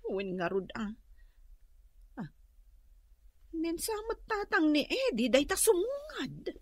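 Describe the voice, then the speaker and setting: A woman's voice: sits high, huge pitch swings, takes it slowly, a little mellow, no echo, clean studio sound, heavily acted. An actress with an Ilocano accent playing an exasperated, worried wife and mother in a radio drama, nagging and plaintive. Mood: complaining.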